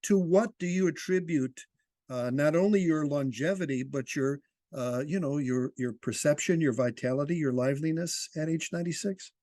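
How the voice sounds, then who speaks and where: A man's voice: on the high side, moderate pace, warm tone, no room sound. A man conducting a conversational interview, speaking in an encouraging, jokey and teasing manner.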